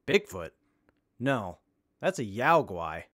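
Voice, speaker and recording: Man playing an animated, anxious young crewman. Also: fairly low pitch, slow, dry recording, studio quality, heavily acted. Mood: anxious, insistent. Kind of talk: comedy audio drama acting.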